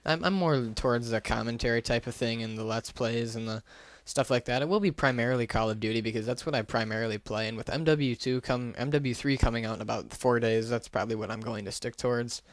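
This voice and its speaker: A man's voice: mid-range voice, fast, hardly any pauses, no echo. A man giving a casual, unscripted gaming commentary into a gaming headset, talking in a hesitant, rambling, self-deprecating way. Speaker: gaming YouTuber.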